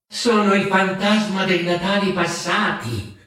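A man voicing a gentle, mysterious childlike ghost with a soft, fluting voice that seems to come from far away, drawn through an echo effect. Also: high-pitched, voice pushed up. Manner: slow, calm, enigmatic.

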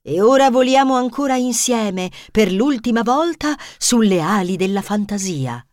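A woman voicing a wise, mysterious fox who speaks softly and low. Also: animated, normal speed, no echo, clean studio sound, close to an everyday voice. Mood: wistful. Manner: soft, low and enigmatic.

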